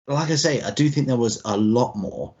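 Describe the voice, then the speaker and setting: A man's voice: medium pitch, moderate pace, a little mellow, husky. A man in his thirties co-hosting a podcast, chatting casually in a loose, rambling way. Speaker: podcast co-host. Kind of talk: casual chat. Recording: dull lo-fi podcast audio.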